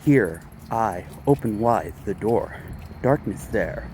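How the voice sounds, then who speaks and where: Slow, low-voiced man reading Gothic poetry outdoors. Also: expressive, a little mellow. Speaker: amateur reader. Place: outdoors, walking a dog. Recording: outdoor street noise, vehicles, jangling keys.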